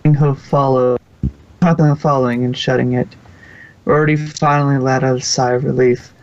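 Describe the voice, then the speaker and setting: A man's voice: medium pitch, flat delivery, moderate pace. A man reading scripted storybook narration as the narrator of a fan-fiction audio reading, on a lo-fi home recording.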